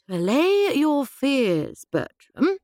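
A woman voicing an imperious, middle-aged upper-class English mother who speaks in a commanding, crisp and dismissive way. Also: high voice, sing-song, slow pace, darkish tone, no room sound, studio quality, pitched above the performer's own voice, exaggerated voice. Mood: snappish.

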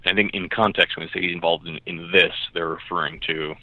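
Man as research co-host, low register, tentative casual explainer, heard over a muffled call line. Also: fairly even intonation, quick pace, dry recording. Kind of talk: casual analytical discussion. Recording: muffled narrow phone-like line.

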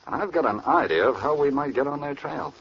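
An actor playing a resolute, methodical FBI agent in an old radio crime drama. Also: male voice, fairly high pitch, animated, brisk, gravelly. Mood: earnest. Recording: muffled, noisy old radio recording.

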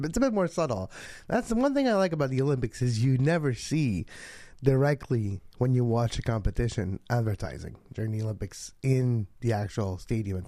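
A man co-hosting a casual sports podcast, chatty and laughing easily, with sudden excited jumps in pitch. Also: no echo, clean studio sound. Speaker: podcast co-host.

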